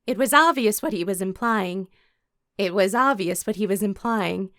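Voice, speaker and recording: A woman's voice: medium pitch, normal speed, a bit bright, no room sound, clean studio sound, natural voice. A woman playing a composed, caring teenage warrior girl, narrating an audio drama in the first person and speaking her dialogue. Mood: thoughtful, reassuring.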